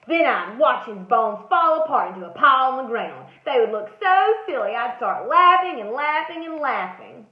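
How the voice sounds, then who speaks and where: A woman's voice: sing-song, moderate pace, hardly any pauses. A woman voicing a once-terrified, plucky male bookmark in a theatrical, dramatic picture-book read-aloud. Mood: plucky, amused. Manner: theatrical, rising from hushed suspense to high cries. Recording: roomy echo, slightly dull lo-fi sound.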